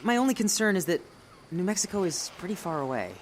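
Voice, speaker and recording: James, a bashful young man in an audio drama who trips over his words and starts sentences over, played by a woman with a low, swooping voice. His pitch rises and falls a lot as he talks. There is a faint hiss of ambient noise behind him. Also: lively intonation, quick pace, natural voice. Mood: shy, earnest. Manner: hesitant, stammering.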